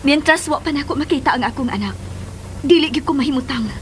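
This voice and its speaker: A woman's voice: high-pitched, fast talker, lightly acted. An actress playing an anxious, guilt-ridden mother in a radio drama, plaintive and emotional, with a Cebuano accent. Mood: guilty, sorrowful. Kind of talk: Philippine radio drama acting. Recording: noisy, car sound behind.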